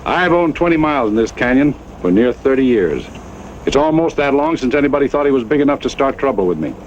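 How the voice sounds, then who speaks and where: An actor playing a stern, proud old American cattle baron, grave and deliberate, in a Western radio drama. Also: male voice, mid-range voice, lively intonation, close to an everyday voice. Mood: grave accusation. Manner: grave, deliberate, plain-spoken. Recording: muffled old radio recording, faint noise.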